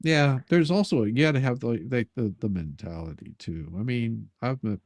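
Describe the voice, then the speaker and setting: A man's voice: medium pitch, expressive, normal speed, a little mellow, no room sound. Man bantering casually, close dry sound. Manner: teasing, sarcastic. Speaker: live stream host. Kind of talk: casual chat, joking banter.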